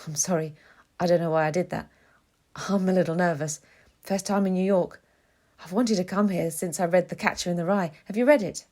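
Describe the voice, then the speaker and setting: A woman's voice: on the low side, fairly quick, warm tone. An eager, awkward young man, played by a woman narrator, bookish and nervous in a storybook dialogue. His sentences tumble into each other as he rambles on. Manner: rambling, sentences running into one another.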